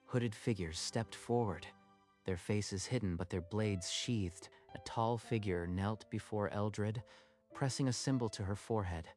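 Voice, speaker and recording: A male narrator with a fairly low voice tells a dramatic scripted story. Music plays clearly behind his narration. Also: unhurried, a little mellow. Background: background music.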